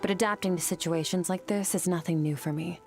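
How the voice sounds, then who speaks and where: A woman acts out an aloof young female warrior for a game. Her voice sits fairly low, with cool, measured, matter-of-fact delivery. Soft music runs underneath. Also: steady tone, natural voice. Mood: calm, detached. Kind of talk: game character acting.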